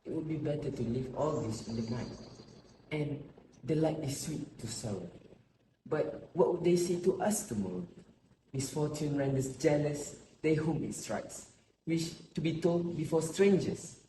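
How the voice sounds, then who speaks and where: A man playing a solemn, sorrowful grandfather in his eighties, speaking deliberately as in an amateur stage play. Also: a little high, mellow, lightly acted. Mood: sorrowful, resigned. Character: sorrowful, grave old grandfather of nearly eighty-three. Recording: roomy echo with faint noise.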